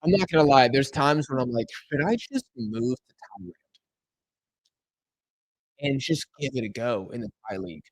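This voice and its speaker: Casting: young male streamer, tired and a bit hoarse, rambling chat, dry close sound. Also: mid-range voice, animated, normal speed, pauses often, no room sound, rough recording. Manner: chatty, rambling, answers chat questions. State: tired, losing his voice. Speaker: live stream host. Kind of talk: casual live stream chat. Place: home room in Argentina.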